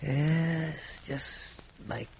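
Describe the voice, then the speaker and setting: A man's voice: on the high side, drawn-out words, gravelly, full character voice. An actor playing a guilty, nightmare-haunted man in a 1950s radio thriller, pleading and stammering. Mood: relieved, smug. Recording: noisy vintage radio recording.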